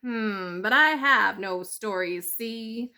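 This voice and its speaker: A woman's voice: on the high side, slow pace, bright, forceful tone, pitched above the performer's own voice, full character voice. Woman as a hungry, punchy storybook weasel. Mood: puzzled. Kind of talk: children's storybook character voice.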